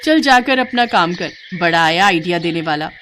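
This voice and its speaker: A woman acting an outspoken village wife, very animated. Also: fairly low pitch, moderate pace, a little hiss or hum, pitched below the performer's own voice. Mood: scolding.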